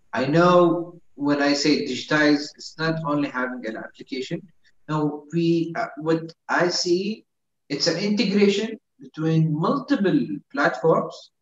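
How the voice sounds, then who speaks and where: A man from Saudi Arabia speaking as a founder on an online panel, halting and searching for words. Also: on the high side. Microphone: video call. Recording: roomy, dull video call audio.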